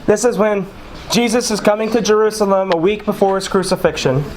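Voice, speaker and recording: A man's voice: sits high, steady tone, brisk, poor audio. A man leading an informal Bible study, reading scripture passages aloud and explaining them conversationally to a small group. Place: small room with a group of listeners.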